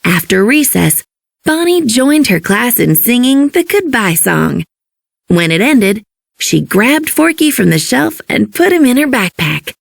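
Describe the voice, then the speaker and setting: Lady reading a kids book out loud, expressive, bit of creak, really dry close sound. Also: on the high side, unhurried, dry recording. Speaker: storybook narrator. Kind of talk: expressive scripted children's narration.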